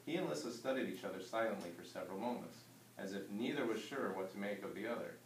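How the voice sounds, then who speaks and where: A man's voice: fairly low pitch, expressive, fast talker. A man teaching a class, reading a story aloud and putting questions to his pupils. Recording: roomy distant mic with background noise.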